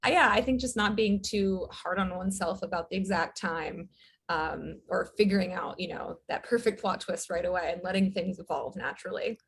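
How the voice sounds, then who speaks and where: A woman, a writer and director, talking as an interview guest in a relaxed, thoughtful way with many fillers. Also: lowish voice, normal speed, vocal fry, breathy edge. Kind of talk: casual interview chat.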